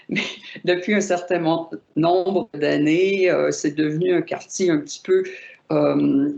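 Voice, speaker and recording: Gravelly-voiced Québécois woman telling her story. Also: lowish voice, dark tone. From Montreal, Quebec. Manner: thoughtful, searching for words with restarts. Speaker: writer, interview guest. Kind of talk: reflective personal storytelling. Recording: roomy, dull lo-fi recording.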